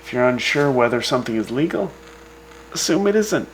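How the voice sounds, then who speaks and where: A man hosting a scripted frontier radio news broadcast, reading the items in a dry, deadpan way with wry asides. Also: medium pitch, fairly quick, a little hiss or hum, poor audio. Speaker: radio host.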